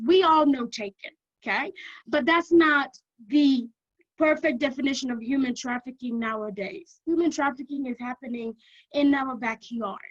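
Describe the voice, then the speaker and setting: A woman with a Kenyan accent giving an energetic informational talk to prospective students, often checking in with "okay?". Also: high voice, lo-fi sound. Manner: energetic, keeps checking in with "okay?", repeats for emphasis. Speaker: university lecturer.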